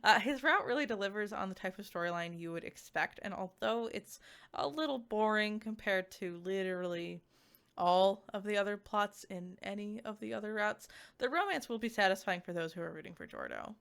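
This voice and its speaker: A woman giving a casual, conversational review to viewers, animated and peppered with "like" asides. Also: medium pitch, brisk, gravelly. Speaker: visual novel reviewer.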